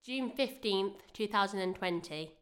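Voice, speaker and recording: Gentle elderly woman, mid-pitched acted by a woman. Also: expressive, normal speed, close to an everyday voice. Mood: narrating. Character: gentle, stoical elderly woman May, retirement home resident. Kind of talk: audio drama acting.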